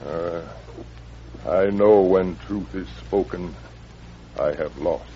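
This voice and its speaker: A deep, solemn, defeated warrior chief. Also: male voice. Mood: resigned, solemn. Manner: halting broken English, grave. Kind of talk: old-time radio western acting. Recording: muffled, noisy vintage radio recording.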